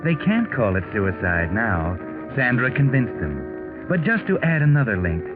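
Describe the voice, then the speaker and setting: An actor playing a sardonic, ominous narrator of a 1940s radio mystery, taunting and knowing. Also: male voice, medium pitch, very animated, a little slow. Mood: mocking. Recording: muffled old radio recording. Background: music.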